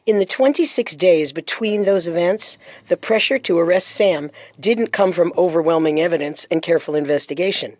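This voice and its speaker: A woman's voice: on the low side, moderate pace, hardly any pauses, a little mellow, no echo. A woman hosting a true-crime show with scripted narration. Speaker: host and narrator.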